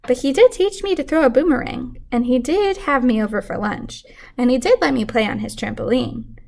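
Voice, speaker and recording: Female teacher for picture-book read-aloud, highish voice with clear creak, expressive storytelling. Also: moderate pace.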